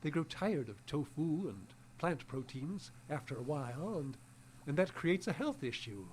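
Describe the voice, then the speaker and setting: A man playing an eccentric, testy old botanist in a radio horror drama, husky, absent-minded, stammering and repeating himself. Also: a little high, big pitch swings, moderate pace, slight background noise. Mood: obsessive, explaining.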